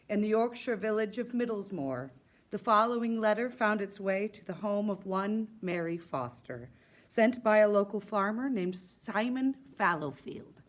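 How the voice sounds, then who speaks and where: A woman's voice: mid-range voice, expressive, a little slow. A woman giving a scripted, storytelling introduction as a stage narrator. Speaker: actor reading historical letters.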